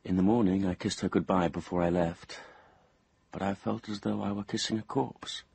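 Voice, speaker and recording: An actor playing an anxious, lovestruck young man with a troubled conscience in a radio drama, his voice husky. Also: low voice, steady tone, moderate pace, a little mellow, heavily acted. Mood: brooding confession. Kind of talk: radio drama acting and first-person narration.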